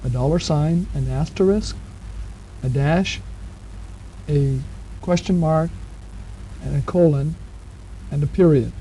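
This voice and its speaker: Mellow, muffled man giving instructions. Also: fairly high pitch. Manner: plain-spoken, patient, explanatory. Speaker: instructor narrating a program manual. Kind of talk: instructional narration, step by step. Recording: muffled 1970s cassette tape with hiss.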